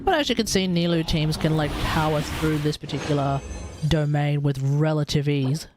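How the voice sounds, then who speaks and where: An Australian man talks in a casual, rambling way on a game stream. His voice sits a little high for a man. He often repeats and rewords phrases, with game music clearly playing behind him. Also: normal speed.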